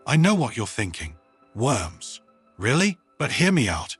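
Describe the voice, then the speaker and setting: A man voicing an enthusiastic, earnest woman scientist in a storybook, with a bright, eager, persuasive manner. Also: mid-range voice, big pitch swings, moderate pace, slightly rough, faint music behind. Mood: cheerful enthusiasm. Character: enthusiastic, earnest female human scientist proudly presenting worms.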